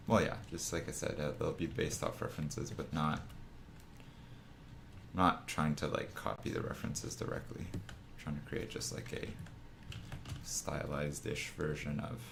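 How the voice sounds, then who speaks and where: A man with a raspy, groggy morning voice, casually rambling through a drawing lesson on a live stream, with many restarts. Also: a bass voice, fairly bright tone, a little hiss or hum. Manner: rambling, full of restarts and fillers. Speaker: art streamer teaching figure design.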